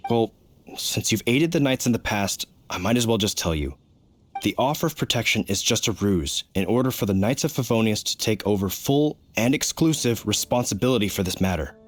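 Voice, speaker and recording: A man's voice: fairly low pitch, lively intonation, fairly quick. An actor playing an earnest, dutiful knight in a video game, formal and explanatory in his manner. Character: earnest, dutiful male city knight, stiff and official. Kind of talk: video game character acting.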